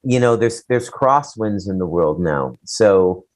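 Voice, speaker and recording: A man's voice: low-pitched, animated, takes it slowly, a little mellow. A man co-hosting a casual talk show, giving opinions in a rambling, blunt, profane way. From Canada.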